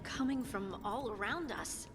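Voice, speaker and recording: A determined heroine who talks in short, purposeful lines. She comes through game audio with a loud orchestral score behind her. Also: a little high, over loud music. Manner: brisk, purposeful. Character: determined female adventurer leading the party.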